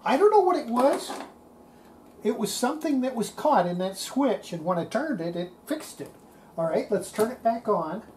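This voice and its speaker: A woman's voice: on the high side, very animated, moderate pace, a little mellow. An older woman giving casual hands-on commentary at a workbench as a radio restorer, muttering to herself while working. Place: cluttered indoor electronics workbench. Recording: noisy workshop room recording.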